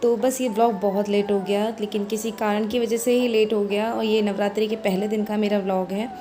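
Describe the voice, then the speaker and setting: A woman with a hoarse voice, chatting through her home chores as a vlog voice-over and explaining each step. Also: medium pitch, flat delivery, a little fast, warm tone, faint music behind. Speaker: vlogger.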